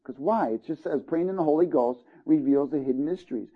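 A man's voice: on the high side, brisk, slightly rough, narrow, tinny audio. A man preaching a sermon, reading scripture aloud and checking in with listeners as he teaches. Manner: earnest, calls out "Amen?" and "Hallelujah" to listeners.

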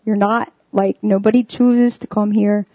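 A woman's voice: medium pitch, level tone, measured pace, dry recording, muffled recording. A young woman giving a casual, earnest sermon, filling her talk with "like" and "um". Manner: earnest, full of "like" and "um" fillers. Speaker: preacher.

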